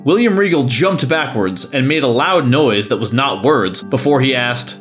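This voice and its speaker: A man's voice: mid-range voice, animated, normal speed, fairly bright tone, soft background music. A man narrating a scripted story.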